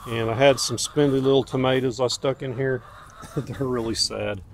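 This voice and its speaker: A man's voice: mid-range voice, fairly even intonation, moderate pace, creaky. A man giving a casual, unscripted garden tour outdoors as a homestead vlogger, in a folksy, plainspoken way. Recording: outdoor recording with faint ambient noise.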